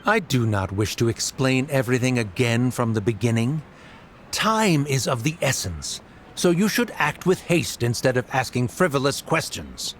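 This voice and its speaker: Man acting as stern middle-aged bureaucrat, animated pitch movement, formal, dismissive, condescending, game dub. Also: normal speed, slight background noise, lightly acted. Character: cold, condescending middle-aged male official and estranged father.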